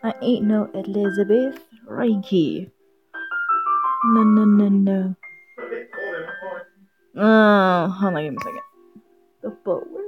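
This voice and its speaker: A woman live-streaming a game, chatting casually and haltingly as if half to herself. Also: medium pitch. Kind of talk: casual live-stream chat while setting up and playing a visual novel. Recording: dull lo-fi mic with music behind.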